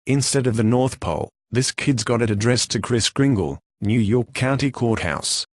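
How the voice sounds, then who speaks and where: A man's voice: medium pitch, moderate pace, no echo, natural voice. Folksy working-class mailman played by a man, mid-range voice, chummy and eager, dry radio drama recording. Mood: breezy. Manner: folksy, slangy. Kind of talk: radio play acting.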